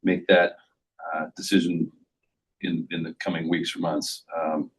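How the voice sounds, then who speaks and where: A man on the board speaking in a soft, tentative way during the meeting discussion. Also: low voice, flat delivery, measured pace, rough recording. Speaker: board member. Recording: faint background noise.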